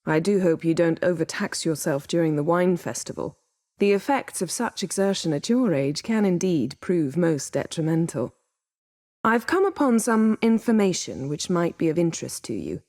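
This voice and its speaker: A woman playing a haughty, sharp-tongued sorceress in a video game, speaking formally and imperiously with cutting poise. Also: lowish voice, lively intonation, moderate pace, dry recording, clean studio sound. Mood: wry, haughty. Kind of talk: video game character acting.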